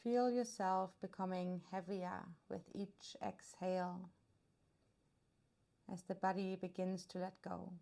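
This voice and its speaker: Slow, mellow woman guiding meditation. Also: on the low side, flat delivery, vocal fry. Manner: soothing, unhurried instruction with long pauses. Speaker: yoga nidra teacher. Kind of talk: guided meditation narration.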